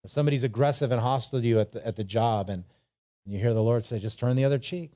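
A male preacher with a soft-edged, mellow voice at a middling pitch. He delivers his sermon in an informal, conversational way, slipping into imagined dialogue and leaning on rhetorical questions and repetition. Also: rapid-fire.

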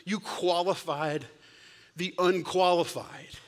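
A man preaching a sermon, telling stories with loud bursts and sudden hushed whispers. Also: sits high, fairly even intonation, slow. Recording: long hall reverb.

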